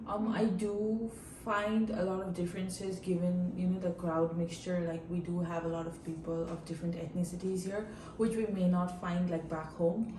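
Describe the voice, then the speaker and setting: A woman's voice: on the low side, fairly even intonation, normal speed, few pauses, mellow, slight background noise. A young woman from India whose first language is Nepali, thoughtfully answering interview questions with many fillers. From India, Darjeeling. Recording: echoey room, from a distance.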